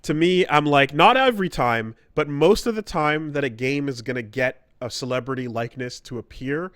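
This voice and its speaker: A man's voice: mid-range voice, normal speed, a bit bright, dry recording. Casual man podcasting, dry close sound. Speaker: podcast host. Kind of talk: casual conversational podcast chat.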